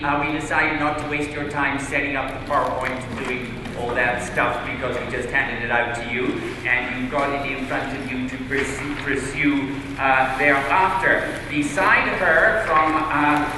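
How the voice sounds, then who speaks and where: A man moderating a panel, brisk and hurried, with filler hesitations. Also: on the high side. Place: large echoey hall. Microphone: distant microphone. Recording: echoey hall from far off, noisy.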